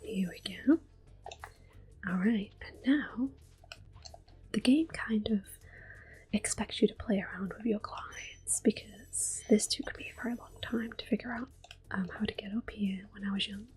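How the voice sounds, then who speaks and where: A woman guides listeners through a video game in a hushed, breathy whisper. Her pace is unhurried and her tone stays gentle and chatty, with a few brief exclamations when something goes wrong. Her pitch sits at an ordinary middle height. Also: low-quality recording. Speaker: gaming ASMR host. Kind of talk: soft whispered game commentary.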